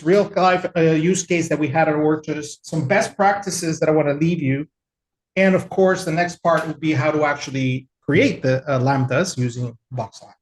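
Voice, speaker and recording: A man's voice: a little high, a little hiss or hum. Man presenting a tech webinar, echoey room. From El Salvador. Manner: relaxed, conversational, frequent fillers.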